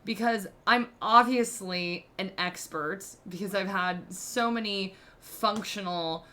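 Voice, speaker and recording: A woman's voice: mid-range voice, takes it slowly, bright, forceful tone. A woman in her thirties hosting a podcast, giving candid relationship advice in a casual, self-mocking way, full of "like". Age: 30s. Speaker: podcast host.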